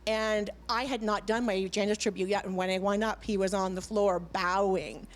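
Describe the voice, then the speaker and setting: A middle-aged woman, a band's singer, chatting as a guest in a TV studio, talking in a lively way with many fillers and restarts. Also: mid-range voice, moderate pace. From Canada. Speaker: band vocalist, guest. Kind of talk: casual chat. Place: TV studio with audience.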